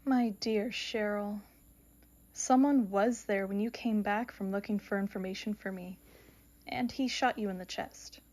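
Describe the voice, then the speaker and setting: A woman playing an all-knowing, solemn female reaper in an audio mystery drama, with measured, oracular delivery. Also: mid-range voice, steady tone, a little mellow, close to an everyday voice. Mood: solemn, consoling.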